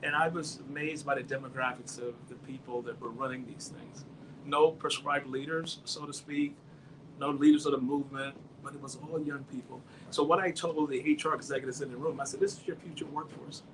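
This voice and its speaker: A man's voice: medium pitch, fairly even intonation, fairly quick. Storytelling man with faint background noise. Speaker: guest, international business consultant. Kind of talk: casual anecdotal chat.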